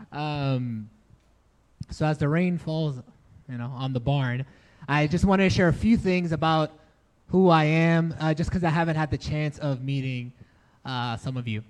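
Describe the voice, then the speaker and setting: A young man from the Dominican Republic, raised in New York City, sharing a relaxed personal testimony with a congregation in a barn church, with easy laughs and filler words. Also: fairly high pitch, unhurried, long pauses. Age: young adult. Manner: relaxed, chatty, laughs easily, many fillers. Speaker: church resident speaker. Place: barn used as a church hall, rain outside.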